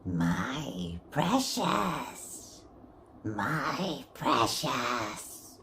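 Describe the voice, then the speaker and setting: Obsessive little fantasy creature, painfully slow and covetous, faint noise behind. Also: male voice, mid-range voice, big pitch swings, sharp tone, heavily acted.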